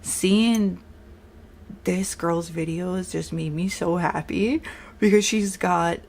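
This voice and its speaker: Young woman, strong New York accent, gravelly low voice, blunt chatty makeup vlogger. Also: slow, darkish tone, slight background noise. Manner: chatty, blunt, says like a lot. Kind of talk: casual makeup tutorial chat.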